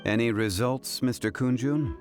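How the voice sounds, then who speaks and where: An actor playing a calm, courteous gentleman scholar in a video game, speaking in a measured, formal way. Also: mid-range voice, close to an everyday voice. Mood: composed. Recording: music behind the voice.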